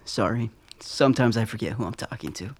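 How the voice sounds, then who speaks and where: A man playing a shrewd leader in a radio drama, with a brisk, matter-of-fact manner. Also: fairly low pitch, lively intonation, breathy edge, no room sound. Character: shrewd male leader analysing intelligence.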